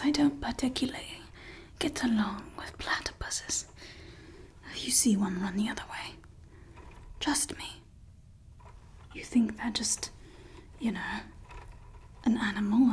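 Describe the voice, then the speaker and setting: A woman's voice: fairly high pitch, breathy edge, heavily acted. A woman whispering as a fussy evil scientist. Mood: chatty. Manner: whispered, soft and close. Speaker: ASMR creator. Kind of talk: ASMR roleplay. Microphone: close ASMR microphone.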